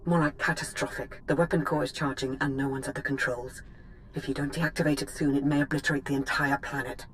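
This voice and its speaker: A woman's voice: a bass voice, fairly even intonation, fast, a bit bright, slight background noise. An actress playing a serious, devoted female military adviser in a video game, grave and formal. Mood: urgent concern. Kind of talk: video game cutscene acting.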